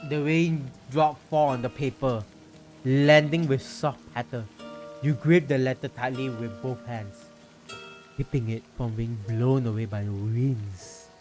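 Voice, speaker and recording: A man's voice: mid-range voice, fairly even intonation, measured pace, darkish tone. A man hosting a casual game livestream, reading story text aloud dramatically and commenting informally with mild swearing. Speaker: game streamer and host. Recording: music behind the voice.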